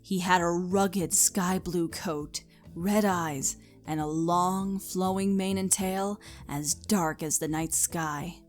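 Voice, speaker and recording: A woman's voice: on the low side, fairly even intonation, slow, soft background music. A woman reading scripted story narration expressively, as the narrator of a comic tale.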